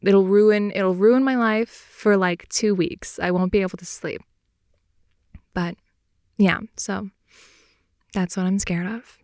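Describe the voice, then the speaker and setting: A woman's voice: medium pitch, fairly even intonation, takes it slowly, pauses often, darkish tone, no room sound. A woman improvising intimate girlfriend-style ASMR, telling personal stories in a casual, hesitant ramble close to the mic. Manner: hesitant, rambling, filler-heavy casual speech. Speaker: ASMR audio performer. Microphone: close, dry studio-style mic.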